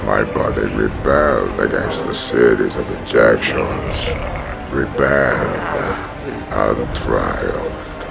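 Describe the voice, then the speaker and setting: A man playing a huge, menacing, obsessed prison-bred villain in a comic dub, narrating with slow, grandiose deliberation in a rumbling, gravelly voice. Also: over loud music, exaggerated voice. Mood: brooding, resolute. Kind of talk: dramatic first-person comic dub narration.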